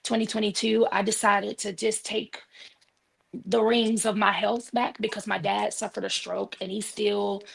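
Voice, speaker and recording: An earnest woman in her forties telling her story in a panel conversation. Her voice has heavy fry and a husky, breathy edge. She talks in steady, heartfelt testimony on a remote call. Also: mid-range voice, moderate pace. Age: 40s. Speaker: worship leader and singer. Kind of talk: casual panel chat.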